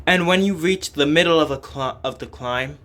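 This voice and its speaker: Man reading game story, slightly high voice. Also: moderate pace, fairly bright tone, slight background noise. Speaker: reader voicing a game playthrough. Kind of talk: scripted narration of translated game dialogue.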